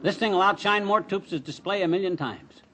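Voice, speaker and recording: An actor playing a blustery, boastful bumbling husband in a 1950s American radio comedy, with a folksy, excitable manner. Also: male voice, high-pitched, normal speed. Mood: boastful, eager. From United States. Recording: noisy vintage 1950s radio recording.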